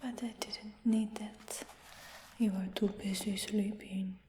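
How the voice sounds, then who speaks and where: Brief: woman as curious dragon hunter, ASMR roleplay, slow hushed whisper, echoey room. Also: medium pitch, fairly even intonation, noisy background, natural voice. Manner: soft unvoiced whisper. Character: curious human dragon hunter who captured a dragon. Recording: roomy whisper with long reverb.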